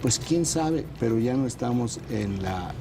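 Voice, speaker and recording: A man's voice: mid-range voice, lively intonation. Guy on a politics panel, explains stuff carefully with lots of ums, noisy background. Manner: measured, explanatory, with frequent hesitations. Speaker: political analyst presenting news roundup. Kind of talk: panel talk show.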